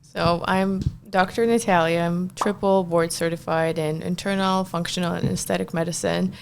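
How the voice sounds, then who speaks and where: Chatty woman with a gravelly low voice. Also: moderate pace, slight background noise. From Ukraine. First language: not English. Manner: chatty and animated, laughs often, fills with "like". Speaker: guest, physician. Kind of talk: casual podcast interview.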